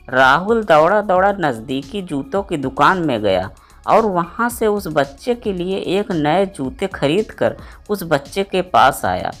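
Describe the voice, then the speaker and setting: A woman with a low-pitched voice narrates a moral tale as a storyteller. She reads from a script. Faint music plays behind her.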